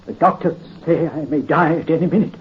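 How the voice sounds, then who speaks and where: A dying count, played by a man, gives orders from his deathbed in a weak but imperious voice pitched a little high. He is bitter and set on revenge. The sound is an old 1930s radio recording, dull and faintly hissy. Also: fairly quick, close to an everyday voice. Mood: commanding. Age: 50s.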